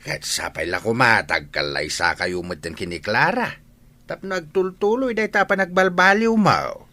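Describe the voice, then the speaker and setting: A man playing an older village father, excitable, fond and nagging, in a radio-style drama. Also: mid-range voice, normal speed. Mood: concerned, fond.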